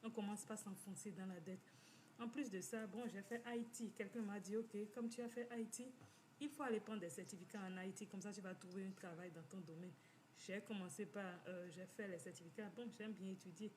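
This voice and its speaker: A woman talks at a middle pitch and tells her own story. She stops and restarts often and repeats phrases as she goes. A faint hiss of noise sits behind her voice. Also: fairly even intonation, a little mellow. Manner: repetitive, halting with many restarts. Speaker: community finance speaker. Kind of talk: personal testimony talk. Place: living in the United States.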